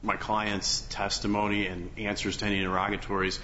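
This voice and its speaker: Low-voiced, halting male lawyer in court. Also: level tone, bright, forceful tone. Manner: halting, hedging, with repeated phrases. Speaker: lawyer arguing an appeal. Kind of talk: oral argument in court. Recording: muffled courtroom recording, faint background noise.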